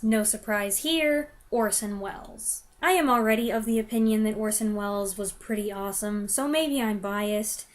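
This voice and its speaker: A woman giving a conversational review, opinionated and given to wry asides. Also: on the high side.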